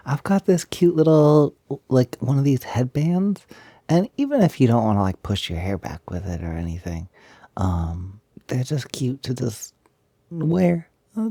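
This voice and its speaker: Soft, slow-talking man in playful intimate roleplay. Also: medium pitch, very animated, dry recording. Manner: playful, coaxing, hesitant and chatty. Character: playful, eager, cute-loving feminine male roommate. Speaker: audio roleplay performer. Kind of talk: intimate improvised-sounding audio roleplay, one-sided conversation.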